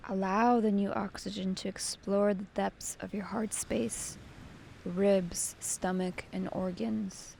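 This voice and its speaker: A woman leading a guided meditation with gentle, soothing, unhurried instruction. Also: medium pitch, flat delivery, gravelly, slight background noise.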